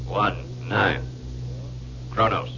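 An actor playing a cold, secretive Martian agent in a 1950s radio drama, terse and formal. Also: male voice, low voice, drawn-out words, vocal fry, sharp tone, exaggerated voice. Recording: muffled, hissy 1950s radio recording.

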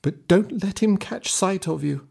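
A man doing a high fretful old woman. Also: measured pace, gravelly, darkish tone, breathy edge, full character voice. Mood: reluctant. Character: fussy nervous old woman servant.

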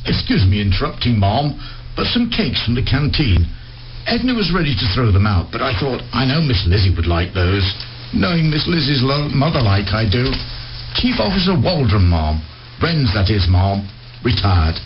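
An actor playing a chatty, deferential police sergeant with a rambling, formal manner in a radio play. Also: male voice, mid-range voice, big pitch swings, normal speed, lightly acted. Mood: deferential, eager. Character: deferential, chatty male police sergeant, loyal ex-navy man. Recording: noisy, muffled radio play recording.

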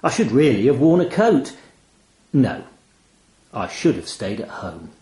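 A man narrates a literary short story in the first person, with a medium pitch that moves around freely. His delivery is measured, expressive and wry, and the room adds a noticeable echo. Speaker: audio story reader.

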